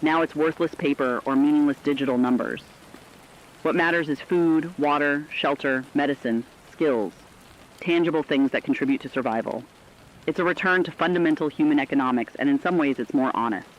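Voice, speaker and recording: A man playing a solemn radio commentator who speaks to survivors in an earnest, reflective, deliberate scripted style. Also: on the high side, muffled recording, slight background noise, natural voice. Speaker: radio co-host.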